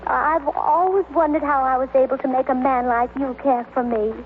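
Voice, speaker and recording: A childlike, meddling young wife as a woman acts her in an old radio comedy. Her voice sits high and she trips over her words in an eager, flustered gush. The recording is narrow and tinny, with faint noise underneath. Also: fairly even intonation, normal speed, natural voice. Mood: contrite, forlorn. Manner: flustered, stammering, gushing.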